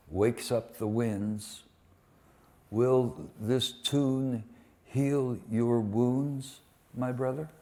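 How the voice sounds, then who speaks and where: A man giving a dramatic live poetry reading, delivering short phrases deliberately with weighted pauses. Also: lowish voice, a little mellow. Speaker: poet reading translated war poems at a poetry event.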